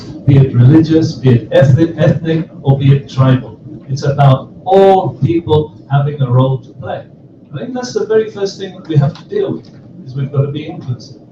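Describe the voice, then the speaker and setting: A man's voice: on the high side, muffled recording. A man from Zambia, a panelist speaking firmly and reflectively about business. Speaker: panelist, entrepreneur. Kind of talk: panel discussion remarks. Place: conference hall. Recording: echoey hall, distant mic, noisy.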